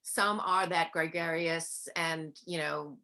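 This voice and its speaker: A woman with a Boston accent hosts the interview in a slightly lowish voice. She chats easily and agreeably, filling her sentences with "you know". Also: steady tone, slow pace, pushed and bright. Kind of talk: conversational interview.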